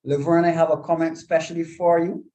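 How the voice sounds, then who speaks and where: A man with a slightly high voice, hosting a live online panel over a video call. He is courteous and a little apologetic, reading out viewer comments and greeting guests. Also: little pitch movement, fast talker, warm tone, dull audio. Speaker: panel moderator.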